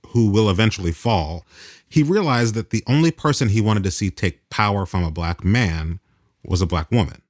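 A man's voice: lowish voice, no room sound, clean studio sound. A man speaking as host and narrator in scripted podcast narration.

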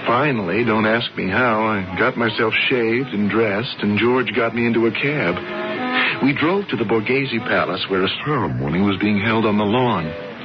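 A man's voice: on the low side, normal speed, over music, close to an everyday voice. An actor playing an easily flustered, incredulous man in a radio drama. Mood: narrating. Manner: incredulous, stammering. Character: bewildered, excitable American man, an artist, easily flustered. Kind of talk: 1970s radio drama acting. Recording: muffled, noisy old radio broadcast.

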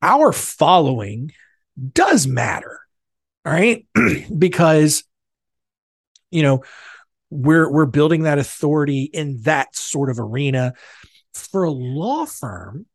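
A man hosting a podcast alone, talking casually with many fillers and restarts, his voice a bit raspy from a cold. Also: on the high side, very animated, slow pace, pauses often, dry recording, clean studio sound. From North Carolina, USA. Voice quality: husky. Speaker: podcast host. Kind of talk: casual solo podcast talk.

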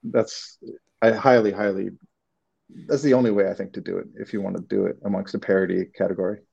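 A man's voice: sits low, steady tone, a little fast, gravelly, darkish tone, husky, dull audio. A man from Canada with a Canadian accent, answering interview questions casually and hesitantly, with many restarts. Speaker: creative director, guest.

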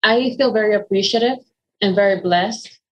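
A woman's voice: medium pitch, normal speed, low-quality recording. A woman in her forties from the Dominican Republic with a Dominican Spanish accent, telling her story in an interview, earnest and full of ums and restarts. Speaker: interview guest. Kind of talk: conversational interview.

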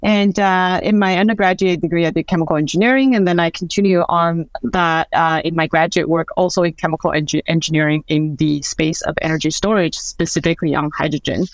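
A woman's voice: on the low side, fairly even intonation, brisk, hardly any pauses, no echo. A woman in her forties from Taiwan, a podcast guest telling her career story in a thoughtful, conversational way with a few restarts. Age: 40s.

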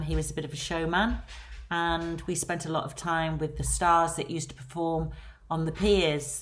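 A middle-aged woman with a Lancashire accent, chatting casually as a podcast guest and filling pauses with ums. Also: low-pitched, a little hiss or hum. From England. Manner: chatty, rambling, many ums. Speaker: guest, celebrity agent.

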